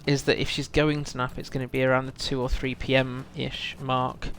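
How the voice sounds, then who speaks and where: A man's voice: medium pitch, level tone, moderate pace, fairly bright tone, a little hiss or hum. A man chatting casually as he hosts a game livestream, rambling and thinking aloud to his chat while a bit under the weather with a cold. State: feels full of cold, often clearing throat.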